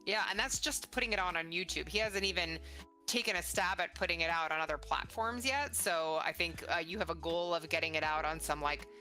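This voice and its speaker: A woman's voice: lowish voice, a little fast, bright, forceful tone. A middle-aged woman hosting a casual conversational interview in an enthusiastic, encouraging way. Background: faint music.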